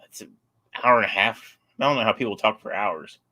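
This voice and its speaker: A man's voice: lowish voice, a little fast, sharp tone. Rambling man chatting on a live stream. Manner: rambling, reads out and answers chat comments. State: voice getting sore. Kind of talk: casual live stream chat.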